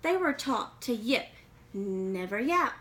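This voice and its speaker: A woman's voice: a little high, very animated, takes it slowly, fairly bright tone. A woman reading a children's picture book aloud expressively, with questioning asides to young listeners. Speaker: read-aloud reader.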